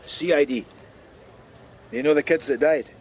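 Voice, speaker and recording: A man's voice: on the high side, a little slow, slight background noise, natural voice. A man playing a blunt, dry Scottish police detective in a radio drama, terse and probing.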